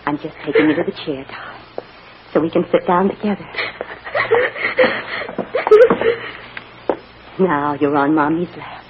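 A woman's voice: low voice, steady tone, brisk, natural voice. An actress playing a tender, distraught mother coaxing her child in an emotional old-time radio soap style. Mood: tender, tearful. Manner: emotional, coaxing, hushed endearments. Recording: muffled, noisy old radio drama recording.

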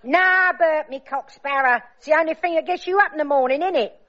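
A woman's voice: sits high, fairly quick, lo-fi sound, noisy background, lightly acted. A woman playing a brash, chirpy middle-aged Cockney pearly queen in a radio comedy sketch, teasing in rhyming slang.

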